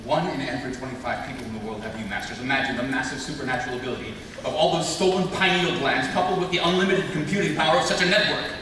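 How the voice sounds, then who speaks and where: Man playing an earnest fast-talking agency leader, echoey hall. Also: medium pitch, crowd noise, close to an everyday voice. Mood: earnest, authoritative. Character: earnest square-jawed male secret agency leader. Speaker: actor at a live table read. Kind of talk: dramatic live script reading. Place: large convention panel hall. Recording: echoey hall, distant mic, noisy.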